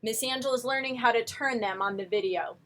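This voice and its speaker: A woman storyteller reading a picture book aloud to young children, with expressive, coaxing asides to her listeners. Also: on the high side, a little fast.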